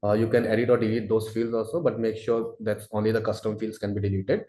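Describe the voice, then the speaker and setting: Low, mellow-voiced man in an echoey room. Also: flat delivery, quick pace. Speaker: product presenter. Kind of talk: webinar product presentation.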